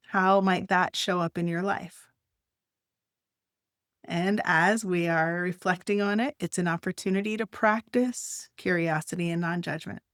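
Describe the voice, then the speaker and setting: A woman leading a guided meditation, speaking gently in short, unhurried phrases with long pauses. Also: fairly low pitch, no echo, studio quality.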